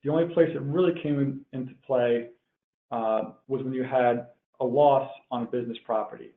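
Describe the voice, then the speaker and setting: A man's voice: medium pitch, steady tone, moderate pace. Man on a tinny, echoey line. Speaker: webinar presenter, tax professional. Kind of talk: explanatory tax webinar lecture. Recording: tinny phone-like line, roomy echo.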